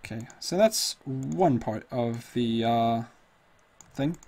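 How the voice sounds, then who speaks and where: A slightly hoarse man casually walking through a tabletop character build, thinking aloud with lots of fillers. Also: mid-range voice, slow pace, darkish tone, slight background noise. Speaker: tutorial host. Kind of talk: casual screen-recorded game tutorial.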